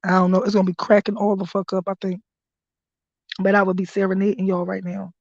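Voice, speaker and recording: A hoarse woman hosting a live stream, talking in casual with loud, laughing, profane hype commentary. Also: mid-range voice, level tone, quick pace, no echo. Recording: dry, dull lo-fi stream audio.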